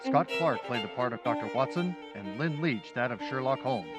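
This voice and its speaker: A man's voice: medium pitch, expressive, moderate pace. A man announcing formal scripted credits in the style of an old-time radio show. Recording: loud string music behind.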